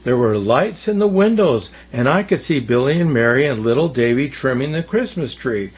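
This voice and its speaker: Husky, animated male children's storyteller. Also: medium pitch, moderate pace. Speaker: storyteller, Santa story-time host. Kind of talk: children's storybook read-aloud.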